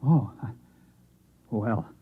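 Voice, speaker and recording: A timid, bewildered man in a vintage radio play. His husky, unclear voice halts and stammers, and the old recording is thin and phone-like. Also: lowish voice, exaggerated voice. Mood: timid, unsure. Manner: halting, hesitant, stammering. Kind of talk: 1950s radio drama acting.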